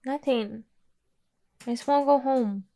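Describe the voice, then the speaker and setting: Woman as slow, sullen traveler Dorothy. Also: high-pitched, dark tone. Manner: sullen, reluctant, hesitant. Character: glum, withdrawn, homesick young female traveler Dorothy.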